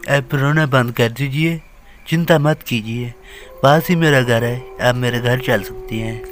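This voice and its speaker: A man's voice: medium pitch, unhurried, soft background music, lightly acted. A man playing a kindly, earnest young villager who speaks politely and with concern in a horror story. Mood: reassuring. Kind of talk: dramatised Hindi horror story acting.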